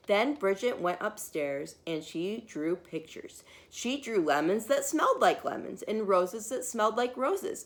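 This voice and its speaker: Slow, bright-voiced woman preschool teacher. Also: mid-range voice. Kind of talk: children's story time, talking to young children.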